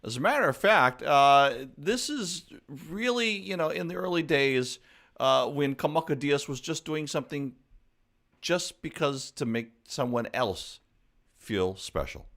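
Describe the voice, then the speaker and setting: A man's voice: on the high side, animated, a little slow, a bit bright. A man hosting a live talk show, upbeat and exclamatory, drawing out his words as he introduces and questions a guest.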